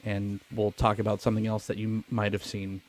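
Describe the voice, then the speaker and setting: A man's voice: fairly low pitch, monotone, a little fast. A man hosting a movie podcast in a casual chat, joking, swearing and putting himself down. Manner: joking, profane, self-deprecating. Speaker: podcast host.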